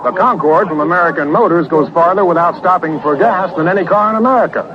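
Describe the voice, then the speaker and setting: Casting: male announcer for a vintage car spot, fairly high voice, dull radio sound. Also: fast talker. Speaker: commercial announcer. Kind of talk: scripted car advertisement narration. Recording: dull, noisy old radio recording.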